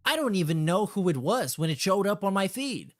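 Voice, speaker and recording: A man's voice: sits high, sing-song, a little fast. A man hosting a reaction stream, reading messages aloud and commenting casually in a sardonic, dismissive, sweary way.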